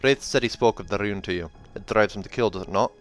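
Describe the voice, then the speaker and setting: A man's voice: lowish voice, fast, a bit bright, soft background music, close to an everyday voice. Man putting on a blunt suspicious dwarf. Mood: probing. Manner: terse, blunt, put-on accent. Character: gruff suspicious older male dwarf warrior.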